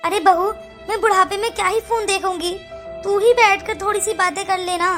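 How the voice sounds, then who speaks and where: Casting: woman as neglected elderly mother for an animated moral tale, plaintive delivery, extremely high and bright voice. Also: moderate pace, soft background music. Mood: pleading. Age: older. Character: frail, pleading, neglected elderly mother-in-law in a cartoon. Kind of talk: Hindi cartoon moral-story acting.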